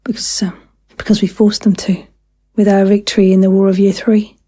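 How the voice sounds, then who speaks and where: A woman playing a cold, zealous war-veteran doctor in an audio drama, speaking in a halting, pointed, condescending way. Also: medium pitch, little pitch movement, mellow, lightly acted. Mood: matter-of-fact.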